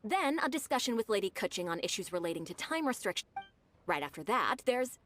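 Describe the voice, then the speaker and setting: Polite young game secretary, businesslike, very animated intonation. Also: female voice, mid-range voice, brisk, a little hiss or hum. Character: dutiful young female secretary, polite and efficient. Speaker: game character. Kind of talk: video game voice acting.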